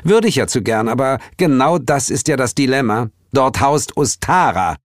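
A man plays an irritable woman who rules the underworld in an audio story. The voice is pushed high and swings theatrically, with an exasperated, droning delivery, recorded close and dry. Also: animated, normal speed, no echo, natural voice. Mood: dramatic complaint.

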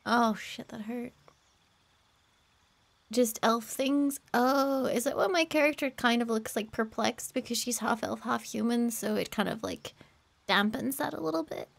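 A young woman streamer who is sick and hoarse from a sore throat, chatting casually and playfully while playing a game. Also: a little high, a little slow. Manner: playful, laughs often.

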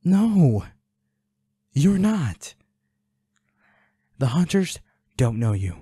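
A man's voice: fairly high pitch, big pitch swings, drawn-out words, warm tone, breathy edge, no room sound, clean studio sound, exaggerated voice. A man playing a protective, worried boyfriend in an intimate audio roleplay, speaking in a pleading, soothing, urgent way. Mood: tender, firm. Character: protective, worried boyfriend tending his injured werewolf partner.

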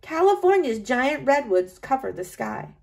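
A woman's voice: high voice, very animated, a little slow, gravelly, darkish tone, full character voice. A woman voicing a proud, driven French male sculptor in a read-aloud storybook, in an earnest first-person reading.

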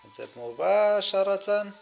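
Higher-pitched man narrating for kids, music behind. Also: lively intonation, unhurried, gravelly. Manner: counts aloud, speaks in short simple phrases. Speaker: host of children's gaming videos. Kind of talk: simple gameplay commentary for young children.

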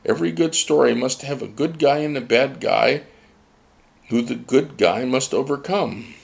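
A man's voice: medium pitch, normal speed, vocal fry, voice pushed up. A man voicing a mysterious spirit teacher that takes a child's form, speaking bluntly and instructively.

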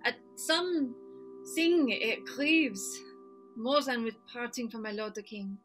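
An actress voicing a grieving queen speaks with slow, weighted sorrow. Her voice sits high and unhurried, with faint music beneath. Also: lively intonation, a bit bright, poor audio. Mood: sorrowful. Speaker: actor in a staged reading. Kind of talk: Shakespeare reading on video call. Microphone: video call webcam.

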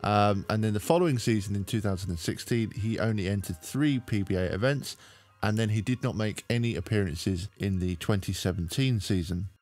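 A man hosting a sports video, giving a measured, explanatory scripted narration into a close microphone. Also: fairly low pitch, darkish tone. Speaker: host of a bowling video channel. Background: background music.

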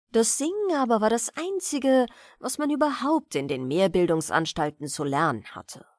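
A woman narrating a fairy tale as an audiobook storyteller, deliberate and expressive, with dramatic pauses. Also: medium pitch, dry recording, studio quality. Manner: deliberate, dramatic pauses between short phrases.

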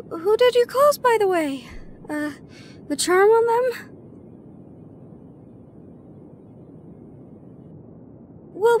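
A woman's voice: very high-pitched, slight background noise. A woman playing a young gorgon in an audio roleplay, shy and flustered but playfully blunt. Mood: frightened. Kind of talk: roleplay audio drama acting.

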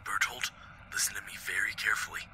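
A man's voice: fairly low pitch, fairly even intonation, fast, bright, forceful tone, faint music behind. A man playing a tense, secretive soldier reporting in by radio in a zombie fan drama, speaking urgently. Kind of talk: dramatic audio visual-novel fan drama. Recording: clearly noisy background ambience.

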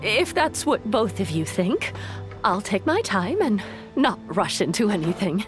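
A woman's voice: medium pitch, very animated, unhurried, music in the background. A woman voicing a curious young merchant woman in a video game, chatty, inquisitive and a little gossipy.

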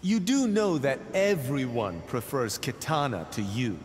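Smug game fighter monk, swingy pitch, reverb and noise. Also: male voice, sing-song, measured pace. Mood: smug, needling. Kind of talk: video game character acting. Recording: long reverb, noisy background.

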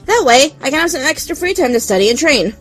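A woman's voice: sits high, very animated, fast, a bit bright, faint music behind. An actress playing a chatty, clever young female student in an audio drama. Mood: upbeat, sly.

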